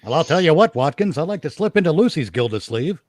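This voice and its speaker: A man's voice: a little high, big pitch swings, fast talker, darkish tone, no room sound, clean studio sound. A man casually riffing as a livestream co-host, with a crude, joking manner.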